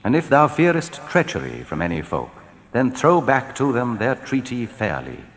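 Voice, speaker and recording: A man reading translated scripture in a solemn, measured, declamatory style, letting his phrases fall at the end. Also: medium pitch, wildly swooping pitch. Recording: long hall reverb.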